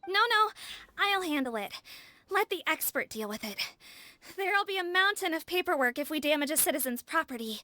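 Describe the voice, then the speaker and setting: A woman playing a self-assured, playful young female officer in a video game, confident and animated in delivery. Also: sits high, a little fast. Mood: confident, self-coaching.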